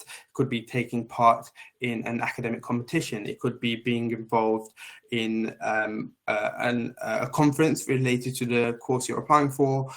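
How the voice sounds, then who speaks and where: A man answering questions on a university admissions panel. His voice sits at a middle pitch, and he talks in a steady, measured way, often pausing on ums. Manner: measured, with many filler ums. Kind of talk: panel discussion.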